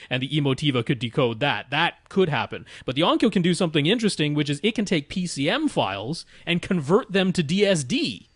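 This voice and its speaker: A man's voice: a little high, a bit bright, no room sound, studio quality. A man co-hosting a podcast, explaining technical details methodically in a casual conversational style. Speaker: podcast co-host.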